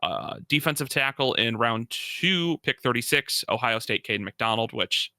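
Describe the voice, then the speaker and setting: A man's voice: mid-range voice, animated, moderate pace, bright, forceful tone, no echo, studio quality. A man co-hosting a casual sports podcast, giving emphatic, opinionated analysis in slangy everyday language. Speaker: podcast co-host.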